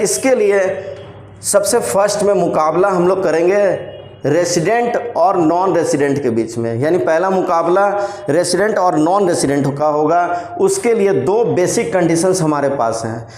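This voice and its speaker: Indian man, commerce teacher, high voice, patient repetitive explaining, roomy echo. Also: animated, darkish tone, soft background music. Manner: explains slowly, repeats points, frequent "theek hai" check-ins. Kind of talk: classroom lecture on tax rules. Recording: roomy echoey room sound.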